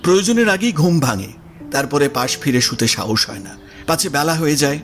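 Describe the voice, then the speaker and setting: A man's voice: a little high, animated, brisk. A man reading literary prose as the narrator of an audio story. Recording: music under the voice. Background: background music.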